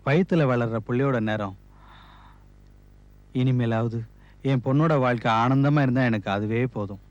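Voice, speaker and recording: A man's voice: mid-range voice, moderate pace, lightly acted. An actor playing a concerned middle-aged father-in-law in a TV family serial. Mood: grave, pleading. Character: concerned father-in-law, protective of his married daughter. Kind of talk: Tamil TV serial acting. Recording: dull lo-fi TV audio.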